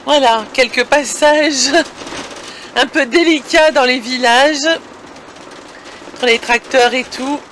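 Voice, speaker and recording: Female vlogger, high and bright, informal and chatty, recorded in a camper cab with some road noise. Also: takes it slowly, long pauses, low-quality recording. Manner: chatty, addressing viewers directly. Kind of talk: casual vlog narration while driving. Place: inside a moving or parked camper van. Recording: vehicle engine and road noise.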